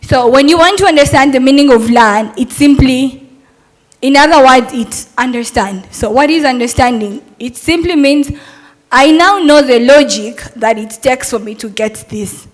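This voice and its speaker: A woman's voice: high-pitched, normal speed, poor audio. A young adult woman, a Montessori teacher, giving an earnest, persuasive talk at a summit and asking rhetorical questions.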